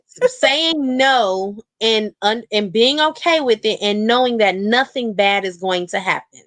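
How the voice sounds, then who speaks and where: Expressive woman guest in candid, casual conversation. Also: fairly high pitch, measured pace, dry recording. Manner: expressive, colloquial, emphatic. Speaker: guest author.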